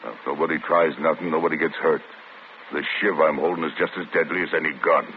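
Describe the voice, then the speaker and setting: A very deep-voiced menacing convict. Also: male voice, steady tone, quick pace. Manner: tough gravelly street talk, clipped threats. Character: hardened male convict, desperate and menacing. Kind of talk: 1940s radio acting. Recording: tinny old radio broadcast with hiss.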